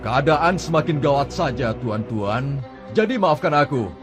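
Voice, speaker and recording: A man's voice: mid-range voice, expressive, measured pace, over loud music, natural voice. A man voicing a sly, scheming chieftain. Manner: sly, plotting, ends with an evil laugh. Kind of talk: dubbed cartoon acting.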